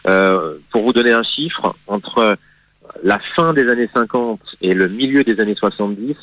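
Man on a radio show walking through history, lowish voice, lots of euhs and restarts, dry close sound. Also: animated, fairly bright tone, no echo, rough recording. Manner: hesitant, many fillers and restarts, measured explanation. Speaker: magazine guest speaking on history. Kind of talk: radio interview, explaining history.

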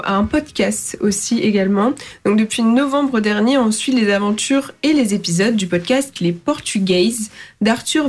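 A woman hosting a radio show, chatting and reading out a schedule of events with small fillers. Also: mid-range voice. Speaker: radio host.

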